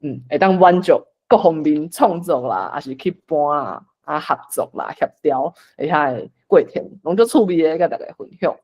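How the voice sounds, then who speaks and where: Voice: medium pitch, expressive, normal speed, hardly any pauses, dull audio. 台灣口音的主持人，講話隨和. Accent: Taiwanese. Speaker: discussion host and moderator. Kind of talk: casual online discussion.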